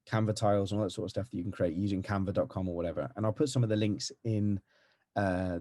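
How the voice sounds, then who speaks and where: A middle-aged man with a West Country accent giving a fast, energetic, chatty marketing tutorial over a video call. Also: sits low, no echo. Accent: West Country English. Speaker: marketing agency owner. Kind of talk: casual tutorial talk to camera. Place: home with green screen background.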